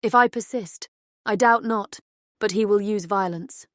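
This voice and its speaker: A woman's voice: medium pitch, measured pace, natural voice. A woman playing a devoted young fairy-tale princess in a dramatized audiobook, speaking in formal, old-fashioned phrases. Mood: sorrowful. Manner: formal, archaic storybook phrasing. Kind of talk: dramatized audiobook character acting.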